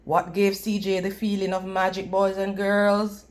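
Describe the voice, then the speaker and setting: A woman reading a picture book aloud to children in a deliberate, teacherly manner, pausing for questions. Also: medium pitch, level tone. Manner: deliberate, teacherly, pausing to quiz and praise listeners. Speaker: children's read-aloud reader. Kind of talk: read-aloud storybook narration with questions to young listeners.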